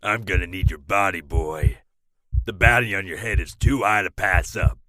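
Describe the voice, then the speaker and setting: A man's voice: low-pitched, moderate pace, pushed and bright, no echo, rough recording. A man playing a cruel, power-hungry villain in an audio drama, taunting and menacing. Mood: gloating menace.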